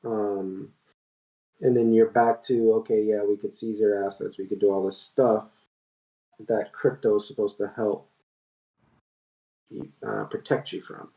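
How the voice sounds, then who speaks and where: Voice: medium pitch, flat delivery, measured pace, pauses often, warm tone. A podcast panelist explaining things in casual discussion, recorded at some distance in a room. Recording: roomy, distant from the mic.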